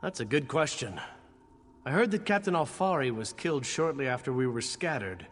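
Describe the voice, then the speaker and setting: A man's voice: mid-range voice, lively intonation, normal speed, natural voice. A man playing a cocky, sardonic knight in a video game, with a flippant, teasing, wry way of talking. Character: cocky sardonic knight, male adventurer, jaded but charming. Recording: long hall reverb, music behind.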